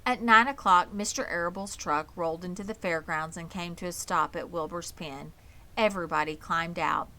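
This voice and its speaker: A woman's voice: fairly low pitch, moderate pace, sharp tone, a little hiss or hum. A woman narrating a children's novel aloud as an audiobook reader. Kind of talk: scripted children's story narration.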